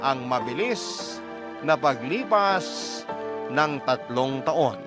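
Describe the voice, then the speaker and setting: A man with a Filipino accent reading a confessional story in radio style, with sentimental, deliberate phrasing. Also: medium pitch, expressive. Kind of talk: radio-style scripted first-person narration of a confession letter. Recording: loud background music. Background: music.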